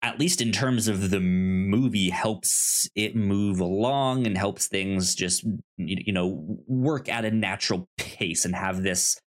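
A man co-hosting a podcast, chatting casually about film and haltingly repeating words as he thinks. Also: low voice. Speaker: podcast co-host. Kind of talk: casual film discussion chat.

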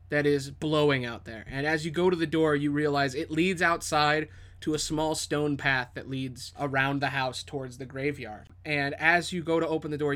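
A man's voice: on the high side, fairly bright tone. A man improvising dramatic, descriptive narration as the game master of a tabletop role-playing game.

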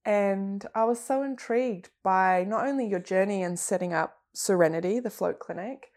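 A woman's voice: mid-range voice, moderate pace, darkish tone. A woman hosting a podcast interview, enthusiastic and often laughing. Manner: enthusiastic, laughing, with upbeat fillers. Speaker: podcast host. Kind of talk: casual interview.

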